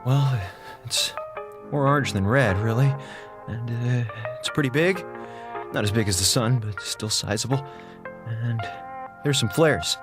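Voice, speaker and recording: An actor playing an excitable, sarcastic comms officer on a space station in an audio drama, rambling and melodramatic. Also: male voice, mid-range voice, unhurried, pauses often, over music, lightly acted. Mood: hesitant, puzzled. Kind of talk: modern audio drama in Golden Age radio style.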